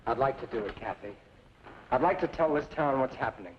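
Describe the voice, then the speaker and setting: A man's voice: mid-range voice, lively intonation, fairly quick, natural voice. An actor playing a weary, reluctant small-town newspaperman and father in an old-time radio western. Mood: resigned. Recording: muffled vintage radio recording, faint noise.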